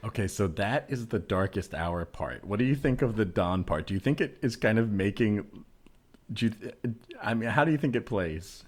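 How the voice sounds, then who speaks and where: A man's voice: fairly low pitch, animated, moderate pace. A man doing improvised conversational comedy as a comedian.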